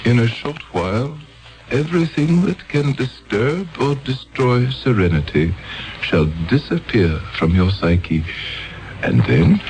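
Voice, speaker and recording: An actor playing a solemn, coldly insistent elder in a 1970s radio drama, speaking in measured, commanding phrases. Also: male voice, medium pitch, animated, darkish tone, soft background music, low-quality recording. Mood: calm, persuasive. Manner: measured, commanding, deliberate pauses.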